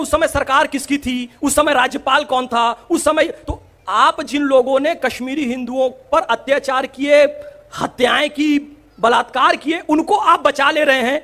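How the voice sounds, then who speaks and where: A man's voice: very high voice, fairly bright tone. A man delivers an impassioned public address. He speaks emphatically, repeating key phrases and putting rhetorical questions to his listeners. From India.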